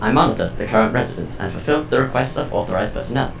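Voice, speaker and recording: A man's voice: mid-range voice, animated, very fast, vocal fry, echoey room, over music. A man playing a cold, formal household artificial intelligence in an audio drama, speaking in a stilted, precise, emotionless way. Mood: flat, formal.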